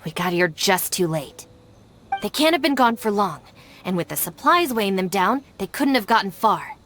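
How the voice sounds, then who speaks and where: Stern, exacting young gang deputy. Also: female voice, mid-range voice, big pitch swings, moderate pace, fairly bright tone, slight background noise. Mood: focused, matter-of-fact. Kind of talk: video game voice acting.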